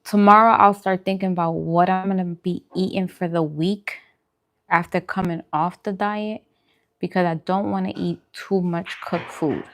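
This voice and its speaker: Casual, chatty woman with lowish pitch. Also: steady tone, moderate pace. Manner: informal, colloquial, chatty. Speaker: vlogger hosting a mukbang livestream. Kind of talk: casual livestream chat with viewers while eating.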